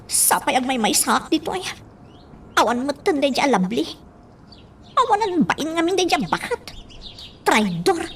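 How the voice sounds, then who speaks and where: Radio drama housemaid: very high, lively, chattering, nervous and fretful. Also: female voice, slight background noise, exaggerated voice. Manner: anxious, gossipy, animated. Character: chatty, fretful female servant at a mansion.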